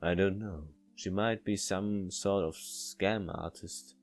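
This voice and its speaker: Guarded male visual-novel lead, read by a man, deep, dismissive, faint music underneath. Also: fairly even intonation, takes it slowly. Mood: defensive. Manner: defensive, dismissive.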